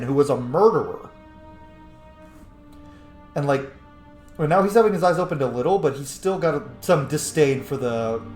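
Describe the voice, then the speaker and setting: A man giving casual game commentary as he roleplays, musing out loud with emphatic words and some swearing. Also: fairly high pitch. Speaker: gamer, host of a roleplay playthrough. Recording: echoey room, faint music behind. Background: faint game music.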